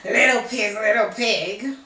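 A woman voicing a sly, coaxing big bad wolf from a children's storybook in loud, drawn-out calls. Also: a little high. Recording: roomy, distant mic, faint noise.

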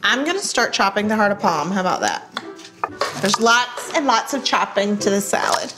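A woman's voice: medium pitch, lively intonation, normal speed. A middle-aged woman hosting a cooking segment in her home kitchen, teasing and motherly. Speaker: cooking show host. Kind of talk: casual cooking chat. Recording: TV show with music bed. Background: background music, dishes clattering.

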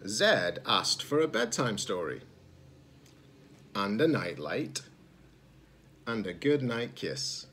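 A man in his forties, a teacher-librarian reading a children's picture book aloud, expressive and slightly husky, pausing to ask listeners questions. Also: on the low side, a little hiss or hum. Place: indoors, room at home with sloped ceiling.

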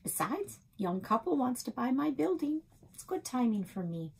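Woman as folksy, husky small-town shopkeeper. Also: high-pitched, very animated, normal speed, darkish tone, pitched above the performer's own voice, full character voice, low-quality recording. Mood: resigned. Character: middle-aged small-town bait shop woman, wry, hearty.

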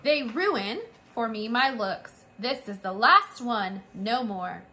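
Lady putting on a fussy french mama mouse, high and swoopy, all sighs and drama. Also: expressive, slow pace, bright, forceful tone, roomy sound, exaggerated voice. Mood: imperious. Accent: French, put on. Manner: theatrical, sighing, self-pitying. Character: vain, melodramatic French mother mouse.

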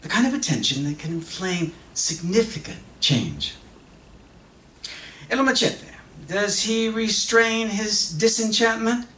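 Slow, theatrical middle-aged man on stage. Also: high-pitched. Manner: expressive, emphatic, with dramatic pauses; switches between English and Spanish. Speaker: performer of a dramatic reading. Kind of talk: theatrical dramatic monologue read from a script. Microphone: microphone on a stand. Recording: echoey hall from far off, faint noise.

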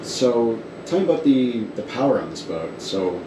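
A man's voice: medium pitch, sing-song, normal speed. Man off camera asking casual questions. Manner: curious, asks short follow-up questions. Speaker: interviewer. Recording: echoey cabin, distant mic, air conditioning hum.

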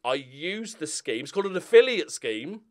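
A man in his forties chatting casually and humorously to viewers as a channel host, animated and jokey. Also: on the high side, moderate pace. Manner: animated, jokey, sweary, chuckling. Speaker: YouTube gaming channel host. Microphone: desk condenser microphone up close.

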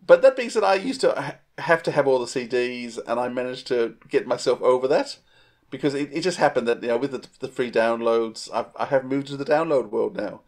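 A man's voice: mid-range voice, a little fast. A middle-aged man with an Australian accent, chatting casually as a podcast co-host on a video call. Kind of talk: casual chat.

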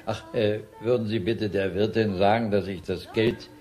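A male actor plays an easygoing grandfather in an audio drama. His older voice is deep, polite and a bit hesitant, with faint music behind. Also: fairly even intonation, normal speed, a little mellow, lightly acted. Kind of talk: radio play acting.

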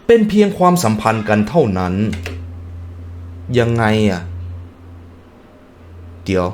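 A man's voice: on the low side, very animated, slow pace, a little mellow, a little hiss or hum. A man hosting a reaction video, reading text aloud and breaking off into casual musing remarks and hums.

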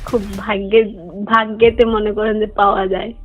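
A woman's voice: medium pitch, little pitch movement, normal speed, dry recording. A woman with a Bangladeshi accent talking casually in a recorded private phone conversation. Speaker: hospital cleaner. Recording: dull lo-fi recorded phone call. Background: music.

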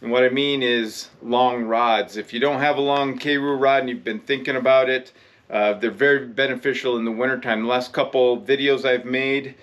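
A middle-aged American man in his fifties presenting fishing gear in a casual, instructional vlog style, explaining in a halting, conversational way. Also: medium pitch, a bit bright. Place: indoor room with rod racks.

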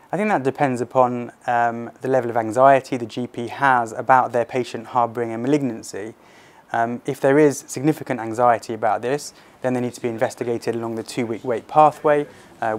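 A British man in his thirties with a mid-pitched voice speaks in a measured, clinical way. He explains like a consultant surgeon being interviewed for fellow doctors, recorded on a close mic indoors.

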